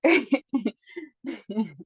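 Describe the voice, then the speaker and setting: A woman with a Guyanese accent, a guest casually telling stories with lively bursts and frequent laughs. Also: on the high side, husky, dry recording. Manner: lively, laughs often, quick bursts when excited. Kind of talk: casual storytelling chat. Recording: dull lo-fi dry sound.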